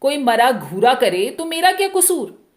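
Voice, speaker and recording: A proud young village wife from a storybook, played by a woman reader. She answers back sharply and haughtily in a fairly high voice, vain and unbowed. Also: sing-song, measured pace. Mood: indignant, defiant. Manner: sharp retorts, haughty indifference. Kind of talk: dramatic Hindi short story reading.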